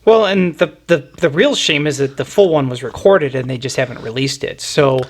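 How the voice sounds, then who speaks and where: Male podcast host, somewhat high-pitched, conversational and explanatory music talk. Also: normal speed, vocal fry. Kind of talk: casual music discussion.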